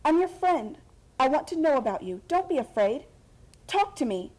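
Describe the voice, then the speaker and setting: A woman's voice: high voice, very animated, moderate pace, a little mellow, low-quality recording. A woman playing an earnest, headstrong young heiress of a factory family in a radio play, speaking insistently. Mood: earnest pleading. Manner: earnest, insistent, pleading to commanding.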